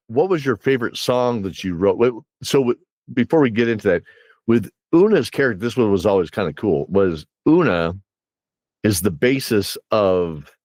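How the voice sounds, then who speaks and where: A man with a fairly low voice and a close, dry sound hosts a casual interview. He rambles through stories as a game master would, doubling back on words while he thinks. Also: no echo. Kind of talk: casual podcast interview and storytelling.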